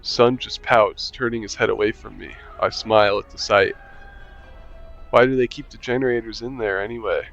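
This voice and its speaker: Gamer reading a visual novel aloud, low voice, relaxed and wry, muffled mic with soft music behind. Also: male voice, normal speed, vocal fry. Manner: relaxed, wry, mutters to himself while playing. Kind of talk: reading game text aloud as narration and player lines.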